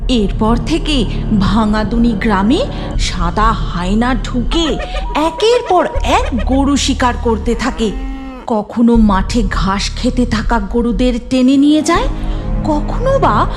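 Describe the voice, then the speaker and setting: Casting: female cartoon narrator, high voice, unhurried and dramatic, expressive range. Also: over music.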